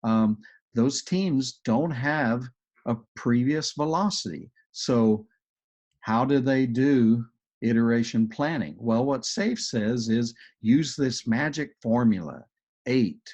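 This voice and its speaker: A man with a Texas accent, an agile coach presenting in a webinar, talking in a relaxed, chatty way with many ums and restarts. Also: medium pitch, takes it slowly. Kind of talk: webinar explanation and Q&A. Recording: dull, muffled web call audio.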